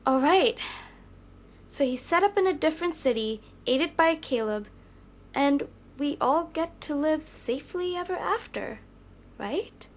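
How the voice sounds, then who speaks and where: A woman's voice: high-pitched, lively intonation, unhurried. A woman playing a cautious, protective young superheroine in an audio drama, with an emphatic, earnest delivery. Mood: resolute.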